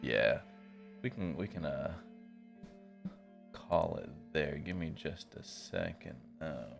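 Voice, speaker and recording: Tired chill guy streaming a visual novel, super low voice, slangy asides, music behind him. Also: expressive, slow pace, vocal fry. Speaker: game streamer. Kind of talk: live stream reading and casual commentary on a visual novel.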